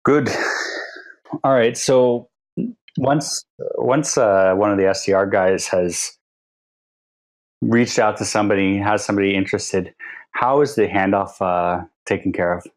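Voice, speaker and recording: This is a man with a low voice who hosts a podcast. He keeps things moving with brief prompts and quick acknowledgements. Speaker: podcast host. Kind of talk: interview questions.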